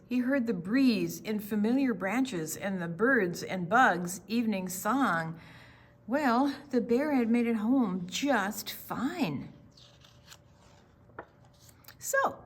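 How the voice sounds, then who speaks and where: A woman reading a children's picture book aloud in an expressive storytime style. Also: medium pitch, takes it slowly, slightly rough.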